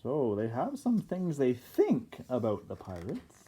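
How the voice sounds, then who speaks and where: A kindergarten teacher reads a picture book aloud in a mellow, soft-edged voice. The reading is expressive, and it breaks off now and then for casual comments to the children. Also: medium pitch, breathy edge. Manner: expressive, pauses to comment to young listeners.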